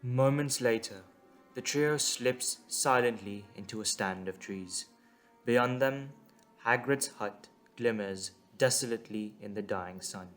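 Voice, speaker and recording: A man's voice: mid-range voice, unhurried, fairly bright tone. A man reading scripted dramatic narration as the narrator of an audio drama. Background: music.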